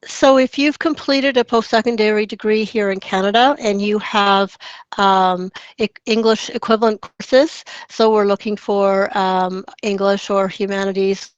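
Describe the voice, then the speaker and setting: A woman's voice: medium pitch, steady tone, a little slow, smooth, dry recording. A woman advisor explaining requirements in an online meeting. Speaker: admissions advisor. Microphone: online meeting call. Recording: dull lo-fi online call audio.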